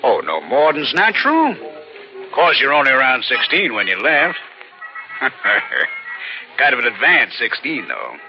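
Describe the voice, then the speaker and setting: Folksy counterman, swooping lively voice. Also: medium pitch, measured pace, pauses often. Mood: teasing, sly. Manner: folksy, familiar, insinuating, colloquial. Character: folksy, knowing small-town lunch-wagon counterman. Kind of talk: 1940s radio drama acting. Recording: dull old radio recording with music.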